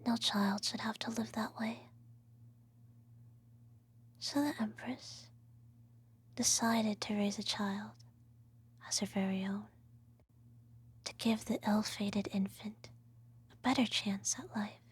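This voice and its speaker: A woman playing an evil empress and doting adoptive mother, telling a bedtime story in a breathy ASMR whisper with formal, measured diction. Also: mid-range voice, steady tone. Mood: hushed, solemn storytelling.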